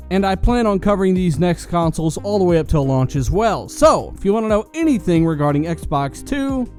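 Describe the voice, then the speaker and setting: Male gaming news host, high register but soft-edged tone, emphatic scripted delivery, faint music underneath. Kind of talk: scripted video news commentary to camera-style audience.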